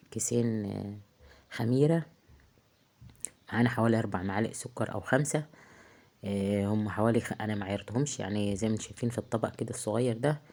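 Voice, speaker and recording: A woman with an Egyptian accent, hoarse from a heavy cold, chatting casually through a cooking tutorial in her home kitchen, halting often with drawn-out filler sounds. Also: very deep voice, warm tone. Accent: Egyptian Arabic. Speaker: home cook vlogger. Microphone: phone.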